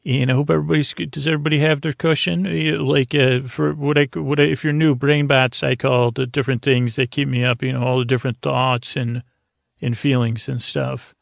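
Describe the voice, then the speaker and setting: Creaky-voiced man rambling through a bedtime podcast. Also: medium pitch, level tone, no echo, clean studio sound. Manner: halting, meandering, self-interrupting, goofy. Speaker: podcast host.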